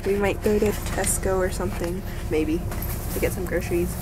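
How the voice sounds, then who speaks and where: Young woman, casual vlog chatter, excitable and giggly, handheld camera, loud traffic and city noise. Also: on the low side, moderate pace. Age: young adult. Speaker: travel vlogger. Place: out in a city at night, on buses and streets. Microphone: handheld camera at arm's length. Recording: loud street and vehicle noise.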